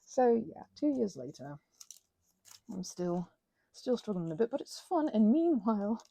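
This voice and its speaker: A woman chatting casually in a rambling, digressive way to her audience, animated and poking fun at herself. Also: mid-range voice, a little slow, vocal fry, husky, dry recording. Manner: animated, self-mocking, digressive. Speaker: hobbyist creator talking to her audience. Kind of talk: casual rambling chat, ASMR channel.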